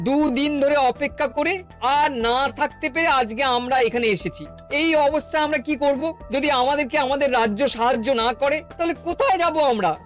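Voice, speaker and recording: A very high-voiced village man from a cartoon, earnest and aggrieved. He pleads insistently, with faint music behind him. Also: brisk, fairly bright tone. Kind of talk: Bengali cartoon dubbing.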